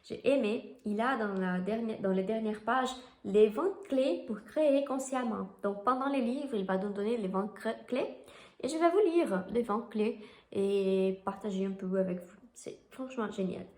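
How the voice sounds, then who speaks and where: A woman's voice: medium pitch, animated, moderate pace, a little mellow. A woman from Brazil with a Brazilian Portuguese accent, a Reiki teacher whose first language is Portuguese, talking enthusiastically about a book and often restarting as she searches for words. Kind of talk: casual book talk to camera. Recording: roomy echoey room.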